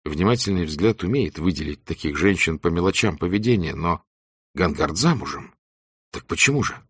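A man reading a story aloud in expressive literary narration. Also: sits low, slightly rough, dry recording, studio quality. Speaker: audiobook narrator.

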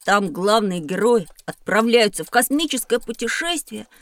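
A woman playing an eager, impatient young boy, excitable in a children's educational audio drama. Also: medium pitch, moderate pace, dry recording, lightly acted. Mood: wistful, impatient. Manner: excitable, exclamatory.

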